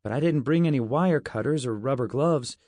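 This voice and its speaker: A man's voice: medium pitch, a little fast, a little mellow, dry recording, close to an everyday voice. Guy doing a sarcastic boy hero, blunt, wisecracks, comic story, dry sound. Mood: unsure.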